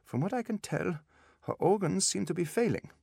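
A pompous German specialist, pitched up and clipped, dismissing relatives in a storybook sickroom corridor. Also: male voice, big pitch swings, normal speed, creaky, darkish tone, husky, dry recording, clean studio sound, exaggerated voice. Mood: irritated, brisk. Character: sharp, impatient, haughty German male doctor.

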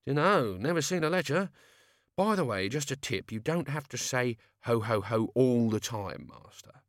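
A man's voice: medium pitch, expressive, moderate pace, no echo, studio quality, lightly acted. A man voicing an old male servant in a grumbling, matter-of-fact way.

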